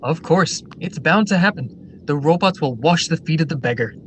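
A confident male factory director played by an actor with a fairly high voice. He declaims his ideals in polished radio-drama style. There is faint noise on the recording. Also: lively intonation, moderate pace, lightly acted. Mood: grandly assured.

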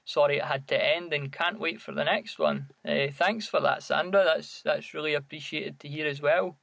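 A man's voice: fairly high pitch, sing-song, moderate pace, gravelly, a bit bright, no room sound. A Scottish man co-hosting a podcast, chatting casually in a hesitant, reflective way. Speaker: podcast co-host.

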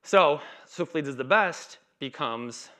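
Man, thirties, stutters, chatty conference talk, bright clear tone. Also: fairly high pitch, animated, slow. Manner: stutters, with repeats and blocks; casual chit-chat delivery. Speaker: conference speaker. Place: dark stage in a conference hall.

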